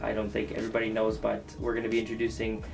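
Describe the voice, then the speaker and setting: A man's voice: fairly low pitch, monotone, rapid-fire, creaky. A man in his thirties hosting a casual office interview into a desk microphone, friendly, with many fillers and restarts. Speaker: real estate team lead, host. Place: small office. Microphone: desk microphone on stand. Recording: music bed under voice.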